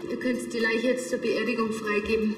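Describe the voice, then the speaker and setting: A woman plays a brisk, sardonic pathologist in a crime comedy. The voice is fairly high and bossy, in a reverberant room with faint music. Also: little pitch movement, close to an everyday voice. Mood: businesslike.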